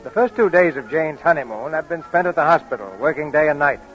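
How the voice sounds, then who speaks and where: A man's voice: fairly high pitch, expressive, fast, fairly bright tone. A man reading scripted narration introducing a 1940s radio drama. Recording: dull 1940s radio broadcast. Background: music.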